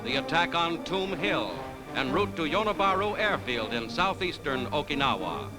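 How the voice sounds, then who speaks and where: A man with an American accent delivers dramatic 1940s newsreel narration. His voice sits a little high and moves animatedly in pitch, with loud music playing behind him. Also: very animated, normal speed, poor audio. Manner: declamatory, dramatic.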